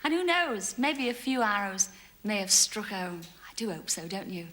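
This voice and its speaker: A woman's voice: medium pitch, very animated, normal speed, sharp tone. A woman hosting a television dating game show, chatty and coaxing as she teases the contestants. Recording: 1980s television studio audio. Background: studio audience.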